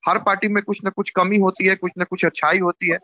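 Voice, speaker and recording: Male interviewee, Bihari accent. Tense bright delivery, explanatory and repetitive, over a dry, close phone line. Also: little pitch movement, quick pace, no echo. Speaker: YouTuber. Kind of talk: informal political commentary. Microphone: phone call. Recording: muffled low-bandwidth phone call recording.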